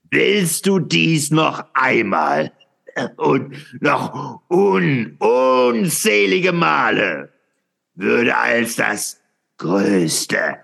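Gravelly, fervent brooding philosopher. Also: male voice, mid-range voice, bright, forceful tone, exaggerated voice. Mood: grave, urgent. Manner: declamatory, halting, with dramatic pauses and repetitions. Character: fervent, brooding male philosopher proclaiming eternal return. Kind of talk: theatrical radio play monologue.

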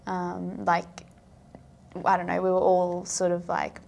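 A woman's voice: on the low side, monotone, takes it slowly, creaky, a little mellow. A young woman from South Africa, chatting casually as a podcast guest and filling her talk with "like". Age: young adult. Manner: chatty, filler-heavy, frequent "like". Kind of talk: casual interview chat.

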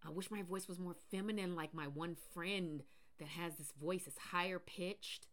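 A woman from the US Pacific Northwest with a deep voice, talking casually and rambling with fillers on her solo podcast. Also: fairly even intonation, moderate pace, fairly bright tone. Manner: rambling, many fillers and restarts. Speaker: podcast host.

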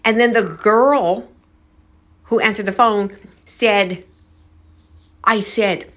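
A woman talks to her viewers as a storytelling host. Her delivery is slow and unhurried, with a mid-range pitch. She sounds casual and conversational, musing as she goes. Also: fairly even intonation, fairly bright tone. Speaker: host of a paranormal storytelling channel.

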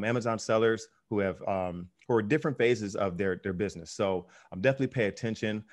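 A young American man hosting a podcast, chatting loosely with plenty of ums and self-corrections. His voice sits low and the words come quickly. He speaks in a relaxed, friendly interview register. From United States. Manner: easygoing, many fillers and restarts. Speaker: podcast host. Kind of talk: casual interview chat.

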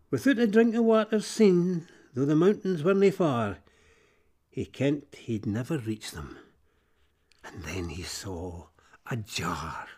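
A Scottish man narrating fables in Scots verse, theatrical and expressive, moving between hushed drops and emphatic swells. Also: mid-range voice.

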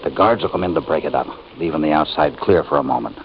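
An actor playing a steady, defiant cowboy hero held prisoner, firm and measured, in an old-time radio western. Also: male voice, a bass voice, gravelly, full character voice. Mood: plotting, determined. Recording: dull old radio recording, faint noise.

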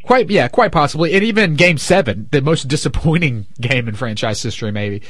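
A man's voice: on the high side, fairly quick, no echo, studio quality. A man hosting a sports radio show, chatting casually and joking, with a stuffed-up nose. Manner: animated, jokey. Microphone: studio microphone.

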